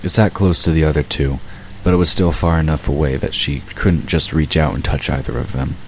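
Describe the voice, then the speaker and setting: Very deep-voiced male storyteller. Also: fairly even intonation, a little fast, a little mellow, slight background noise, rough recording. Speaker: narrator. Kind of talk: audiobook narration of a fantasy drama.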